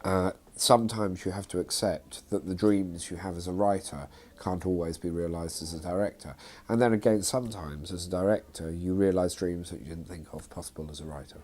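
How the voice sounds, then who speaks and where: Deep, mellow-voiced man in an interview. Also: brisk, few pauses. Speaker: theatre company director. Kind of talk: unscripted interview.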